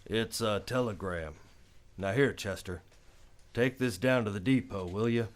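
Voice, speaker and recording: An actor playing a weary, resolute Old West marshal in a radio western. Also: male voice, lowish voice, unhurried, close to an everyday voice. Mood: firm, resigned. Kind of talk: radio western acting and first-person narration.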